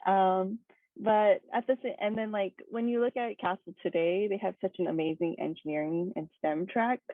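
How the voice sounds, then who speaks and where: A young woman from Hawaii speaking as a guest presenter, telling her story in a relaxed, chatty way full of fillers and little laughs. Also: mid-range voice, normal speed, no room sound. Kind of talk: casual personal talk. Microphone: video call. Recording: dry tinny video call audio.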